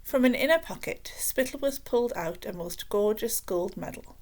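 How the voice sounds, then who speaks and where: A woman reading a children's book aloud as expressive scripted narration. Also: on the high side, normal speed.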